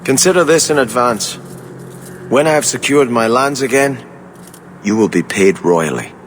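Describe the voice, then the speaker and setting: An exiled fantasy prince played by a man, courtly and earnest, with grief under his formal manner. His intonation is highly animated, and faint noise sits behind the game dialogue. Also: normal speed, lightly acted. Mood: grateful, courtly.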